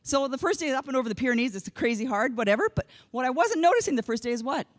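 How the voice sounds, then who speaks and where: A woman's voice: sits high, animated, fast. A woman in her fifties, from Canada, giving a comedic keynote talk, self-mocking and quoting herself and her family in voices. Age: 50s. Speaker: keynote speaker. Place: conference hall stage.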